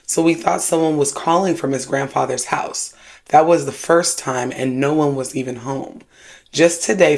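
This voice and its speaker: A host with a creaky voice and a sassy, slangy, emphatic way of talking, giving casual spiritualist commentary and storytelling. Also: medium pitch. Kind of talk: casual commentary with read-aloud paranormal stories.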